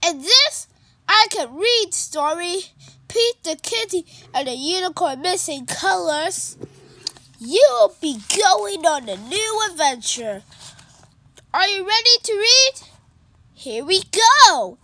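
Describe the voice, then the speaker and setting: A storyteller reading a children's picture book aloud in an expressive, animated way. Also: extremely high pitch, slow pace, dry recording. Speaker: storybook reader.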